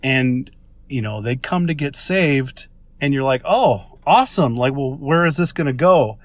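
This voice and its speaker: A man's voice: mid-range voice, expressive, measured pace, dry recording. Enthusiastic American man, very dry sound. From Minnesota, United States. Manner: enthusiastic, informal, rambling. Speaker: listener leaving a voice message. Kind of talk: casual voice message.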